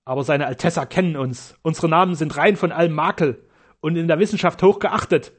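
A man voicing a hostile, scornful Spanish surgeon in an audiobook, mocking and at times shouting. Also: on the high side, brisk, natural voice. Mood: sneering.

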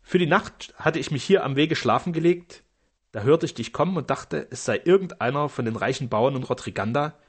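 A male narrator voicing Juanito, a sly, loyal highway robber, telling his story boastfully and eagerly in a breathy voice. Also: expressive, brisk.

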